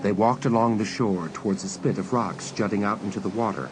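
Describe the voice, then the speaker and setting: A man acting as the measured storyteller of a suspense audio drama, reading scripted narration. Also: lowish voice, over music, natural voice, low-quality recording. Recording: very loud ambient sound effects behind.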